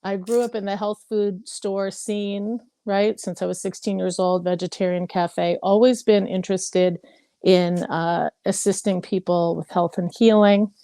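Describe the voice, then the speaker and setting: Candid woman in her fifties, warm. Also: medium pitch, steady tone, a little slow, smooth, no echo. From Hawaii. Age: 50s. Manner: candid, rambling, many fillers. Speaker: participant. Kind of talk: casual personal sharing.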